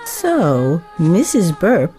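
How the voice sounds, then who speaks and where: A woman storyteller reading a scripted children's tale with measured, careful phrasing for young listeners. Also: lowish voice, wildly swooping pitch, over music.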